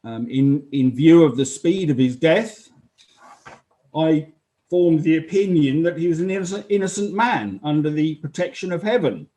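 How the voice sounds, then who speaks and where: Man playing a solemn, higher-voiced Roman officer. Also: animated, dark tone, natural voice. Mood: moved, earnest. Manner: halting, measured, solemn witness delivery. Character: sober, earnest Roman army officer testifying at inquest. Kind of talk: dramatized courtroom testimony.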